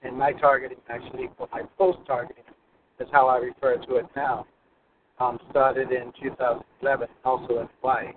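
A man's voice: medium pitch, fairly even intonation, a little fast. A man calling in from his car while driving to work, rambling with many restarts as he asks his questions. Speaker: caller. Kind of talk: call-in question. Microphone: phone. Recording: phone line with faint noise.

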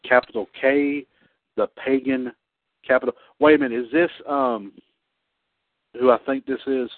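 Man, thirties, casual host, halting speech, full of restarts, tinny phone line. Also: mid-range voice, steady tone, dry recording. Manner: halting, many restarts and fillers. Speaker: podcast host. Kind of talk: casual online radio chat.

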